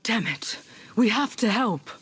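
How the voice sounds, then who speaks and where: A woman's voice: on the high side, animated, unhurried, fairly bright tone, husky, exaggerated voice. A woman playing a blunt, defiant warrior heroine in a video game, terse and confrontational. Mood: impatient.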